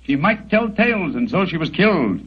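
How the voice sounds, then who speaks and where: An actor playing a shrewd, unruffled British detective with a dry wit in a 1940s radio drama. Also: male voice, high-pitched, very animated, a little fast, heavily acted. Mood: accusing. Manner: crisp, wry, urbane. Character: shrewd, unruffled English bachelor detective, dryly witty. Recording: dull, noisy old 1940s radio recording.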